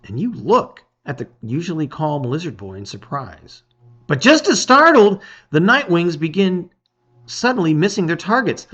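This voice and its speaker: A man's voice: a little high, very animated, moderate pace, fairly bright tone. A middle-aged man giving a dramatic reading of a fantasy adventure, on a slightly dull recording. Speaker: storybook reader.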